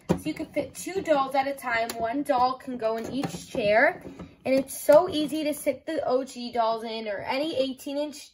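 A young woman with a high voice talks casually through a toy review. She explains things simply and enthusiastically, often saying "like". She is recorded in a room at home, with noticeable noise behind her.

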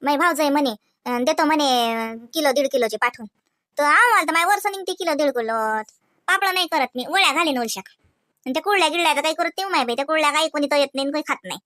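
A woman's voice: high-pitched, fast, sharp tone, no echo, studio quality. A woman voicing a proud, grumbling elderly village mother-in-law in a cartoon, complaining colloquially with a Vidarbha Marathi accent. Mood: matter-of-fact. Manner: colloquial, complaining, rhetorical questions. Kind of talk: cartoon comedy dialogue.

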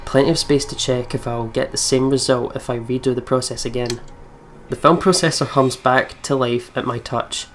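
A man narrates a visual novel in a medium-pitched voice and drops into casual chat between passages. Soft game music plays underneath. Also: fairly even intonation, normal speed. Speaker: let's player reading a visual novel aloud. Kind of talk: scripted narration mixed with casual commentary. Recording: music behind the voice.

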